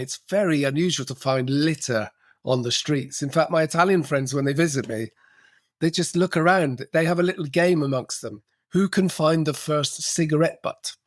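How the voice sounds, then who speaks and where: A man's voice: fairly high pitch, no room sound. Chatty middle-aged man, dry close mic. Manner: chatty and reflective, frequent restarts and "actually". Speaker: podcast guest, cultural coach. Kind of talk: casual interview conversation.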